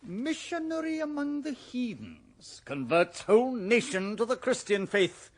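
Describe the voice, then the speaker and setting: A pedantic English schoolmaster in a radio drama. His voice is high for a man and swoops theatrically. He speaks with pompous precision and withering sarcasm. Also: very animated, measured pace, full character voice. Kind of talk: radio drama classroom dialogue.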